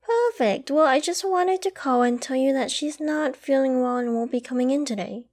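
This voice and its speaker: A woman playing a caring, affectionate and gently teasing girlfriend in an ASMR roleplay, with a coaxing, playfully flustered manner. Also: high voice, moderate pace. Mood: polite, businesslike.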